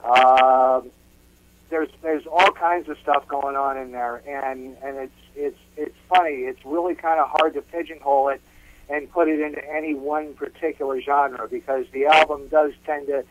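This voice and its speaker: A man's voice: mid-range voice, fairly even intonation, normal speed, phone-quality sound, a little hiss or hum. A man speaking as a musician guest in a casual interview, repeating words as he explains.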